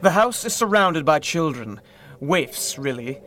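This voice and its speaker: An aloof villain in a higher male register, over faint background noise. He is secretive and brooding, speaking in terse theatrical lines as in old radio serials. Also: animated, a little slow, a bit bright. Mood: smug. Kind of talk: 1930s-style radio drama acting.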